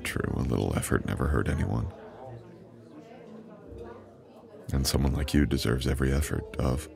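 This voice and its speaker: Very deep, gravelly immortal boyfriend acted by a man. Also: crowd noise, heavily acted. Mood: flustered, charming.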